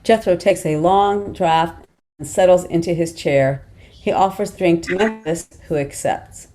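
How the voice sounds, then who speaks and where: A woman's voice: lowish voice, a little slow, dark tone. A woman reading screenplay stage directions as scripted narration for a table read.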